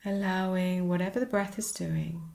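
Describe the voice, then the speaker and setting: A woman teaching a guided meditation, speaking soothingly in short, unhurried phrases with pauses. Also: lowish voice, steady tone, creaky, darkish tone.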